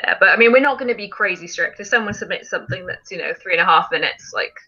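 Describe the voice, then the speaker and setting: A woman's voice: medium pitch, fast talker, sharp tone, highs cut off. A woman hosting a casual live stream, chatting and explaining things in an informal way. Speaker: film challenge live stream host.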